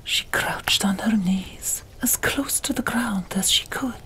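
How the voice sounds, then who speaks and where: A woman narrating an audiobook in a breathy ASMR whisper, hushed and deliberate, pausing between short phrases. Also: medium pitch.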